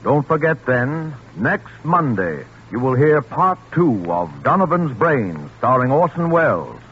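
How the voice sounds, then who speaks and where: A man announcing a 1940s radio program in a formal, measured, dramatic scripted style. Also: mid-range voice, big pitch swings, slight background noise. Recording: muffled 1940s radio recording, faint music.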